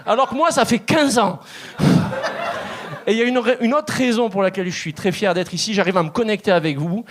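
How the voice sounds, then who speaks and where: A man's voice: high voice, a little fast, noisy background. A man in his thirties from Marseille with a strong Marseille accent, doing a chatty stand-up set on stage. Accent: Marseille French. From Marseille, France. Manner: chatty, laughing, puts on voices for quoted people. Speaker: stand-up comedian. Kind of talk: stand-up routine. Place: comedy club stage.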